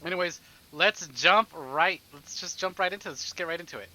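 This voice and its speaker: Bright, forceful man chatting on a livestream. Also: high voice, a little fast, low-quality recording. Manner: rambling, playful, exclamatory, swearing, repeats phrases. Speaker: livestream host, gamer. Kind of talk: casual livestream chat with viewers.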